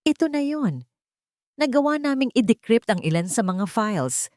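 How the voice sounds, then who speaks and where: A woman's voice: on the high side, sing-song, normal speed, a little mellow, no echo, clean studio sound, pitched above the performer's own voice. A woman voicing a serious young male tech expert in an audio drama, respectful and earnest. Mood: serious, urgent.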